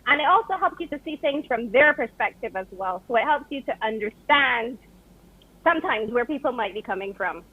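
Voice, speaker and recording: A Barbadian woman, an author, chatting in a call-in interview, relaxed and quick to laugh. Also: on the high side, slightly rough. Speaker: guest author. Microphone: telephone. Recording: phone line.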